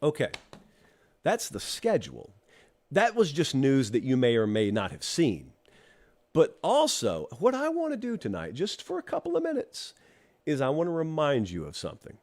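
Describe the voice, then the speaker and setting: A man's voice: on the high side, huge pitch swings, normal speed, a little mellow. A middle-aged man hosting a live college football talk show, congested from allergies, chatting with wry asides to viewers in the live chat. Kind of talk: live-streamed solo talk show with ad read.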